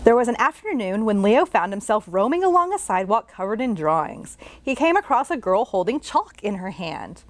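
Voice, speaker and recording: A woman's voice: medium pitch, sing-song, brisk, hardly any pauses. A children's librarian, a woman, reading a picture book aloud and asking young listeners questions along the way.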